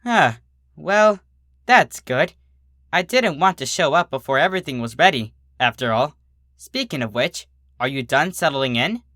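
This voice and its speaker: A brash young female pegasus pony from a cartoon tale, as a narrator puts on. The voice is bright, casual and excitable, with lively swings in pitch. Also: measured pace, no room sound, clean studio sound.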